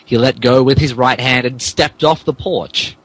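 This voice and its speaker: A man's voice: mid-range voice, fairly even intonation, moderate pace. A man narrating a fan fiction as a mock-dramatic podcast reading, theatrical but stumbling over the text, with asides. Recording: podcast with faint background noise.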